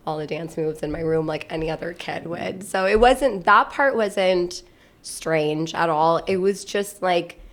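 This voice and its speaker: A woman's voice: fairly low pitch, measured pace. A woman who grew up in Pakistan, a podcast guest telling stories in casual chat, full of "like" fillers and laughing as she talks.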